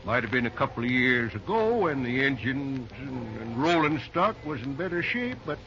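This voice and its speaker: A man's voice: mid-range voice, sing-song, moderate pace. An actor playing a kindly, folksy older American railroad man with country talk in an old-time radio drama. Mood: earnest, hopeful. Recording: muffled, noisy old radio recording.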